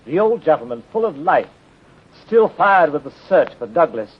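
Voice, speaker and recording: An actor playing an agitated, genteel gentleman in a 1930s radio drama, halting and exclamatory in his speech. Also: fairly high pitch, very animated, husky. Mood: distressed. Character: agitated, genteel British gentleman, bereaved nephew. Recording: muffled, very noisy 1930s radio recording.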